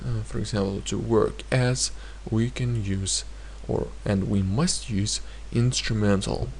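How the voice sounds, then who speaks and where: A man teaching a grammar lesson in a hesitant way, pausing often and repeating each example sentence. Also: fairly low pitch, fairly even intonation, creaky, darkish tone, slight background noise. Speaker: language teacher.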